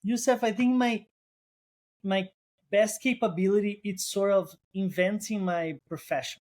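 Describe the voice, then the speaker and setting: A man's voice: high voice, fairly even intonation, unhurried, darkish tone. Brazilian-accented man, thoughtful guest. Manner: thoughtful, restarts phrases, non-native phrasing. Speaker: guest, co-founder. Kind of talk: casual interview.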